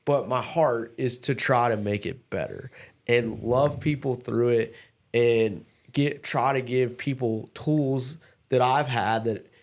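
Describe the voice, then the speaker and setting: A man's voice: mid-range voice, slow pace. Man interviewing casually, mid-range voice. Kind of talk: casual, encouraging conversation.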